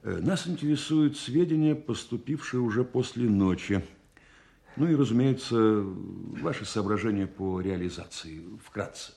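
An actor playing a composed, businesslike, authoritative general in a radio play. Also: male voice, fairly low pitch, expressive, unhurried, gravelly, mellow. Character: composed, businesslike general chairing a wartime meeting. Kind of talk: Russian radio play acting.

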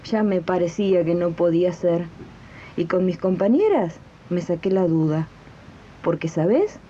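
A woman's voice: on the low side, animated, measured pace, mellow, dull audio, slight background noise. A woman acting an indignant, determined housemaid in a radio drama, with an Argentine accent and a colloquial, questioning way of talking, on a late-1980s recording. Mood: confident. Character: indignant, determined housemaid who makes jam on the side. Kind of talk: radio drama dialogue and narration.

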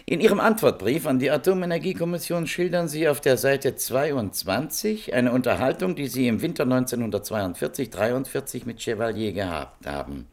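Probing interrogator, very varied pitch, formal, courteous, insistent, radio drama. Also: male voice, animated. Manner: formal, deliberate, pointed. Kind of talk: German radio play, legal questioning.

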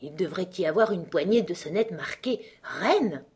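A woman voicing an inquisitive, easily impatient young girl in a storybook, with proper, expressive phrasing. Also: on the low side, moderate pace, husky. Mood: intrigued.

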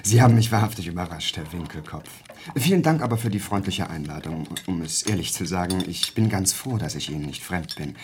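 An actor in a German radio play playing a polite, earnest young aristocrat with a formal, slightly anxious manner. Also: male voice, deep voice, lively intonation, fairly quick, few pauses, slight background noise. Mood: polite, hesitant.

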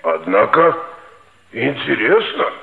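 An actor playing a thin, kindly, curious old Yakut reindeer herder with a creaky voice, speaking in terse phrases in a 1960s radio play. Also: male voice, medium pitch, huge pitch swings, slow, phone-quality sound, exaggerated voice. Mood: kindly, approving. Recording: band-limited vintage radio play recording.

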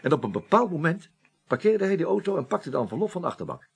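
Hesitant, husky man under questioning. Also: on the high side, sing-song, fast talker, heavily acted. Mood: puzzled recounting. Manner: hesitant, rambling, defensive. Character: evasive male office superior being questioned as witness. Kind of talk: Dutch radio play acting.

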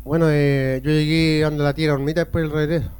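A man's voice: on the high side, level tone, brisk, a little mellow, clean tone. A Chilean man being interviewed on a radio show, telling rambling stories that jump between topics. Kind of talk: casual rambling storytelling.

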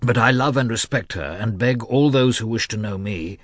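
A man reading aloud and voicing a wild, gaunt, irritable man who speaks in sharp, defiant outbursts. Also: medium pitch, moderate pace, dry recording, clean studio sound, voice pushed up, lightly acted.

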